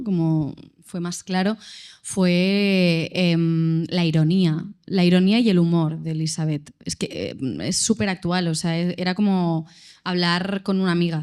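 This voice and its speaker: A woman's voice: lowish voice, mellow, smooth. A female actress speaking on a panel, hesitant and full of fillers. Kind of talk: live panel talk.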